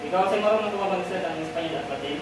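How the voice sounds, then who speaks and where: A man's voice: sits high, very fast, distant mic, lightly acted. A man playing a scornful schoolboy bully who mocks and repeats insults in an amateur historical drama. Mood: mocking. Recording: very echoey noisy room, off mic.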